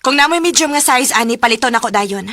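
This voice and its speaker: An actress playing a devoted young working daughter in a radio drama, speaking with a Cebuano accent. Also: female voice, on the high side, animated, fast talker, bright, forceful tone, no room sound. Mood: insistent. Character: Dali, devoted working daughter, young woman narrating her story. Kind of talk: radio drama acting and narration.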